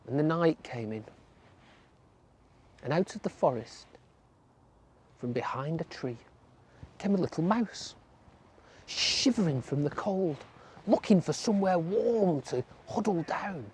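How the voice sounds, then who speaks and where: Gentle, slow children's storyteller. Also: mid-range voice, expressive, breathy edge. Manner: gentle, with whispered and drawn-out words.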